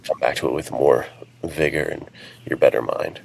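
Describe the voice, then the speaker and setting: Extremely deep-voiced, gravelly man. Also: normal speed, darkish tone, a little hiss or hum, rough recording. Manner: informal, practical advice-giving. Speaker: podcast co-host and writer. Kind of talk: casual podcast chat.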